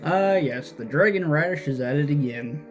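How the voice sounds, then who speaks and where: Streamer: woman, middling low pitch for playful swearing and sarcastic asides, very lively intonation, faint music behind. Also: normal speed. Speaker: streamer reacting to a game. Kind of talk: casual gameplay commentary.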